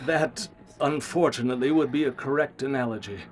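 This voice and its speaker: An actor playing a grave, guilt-ridden male scientist in a radio drama, speaking formally with ominous, measured phrasing. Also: medium pitch, close to an everyday voice. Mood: remorseful.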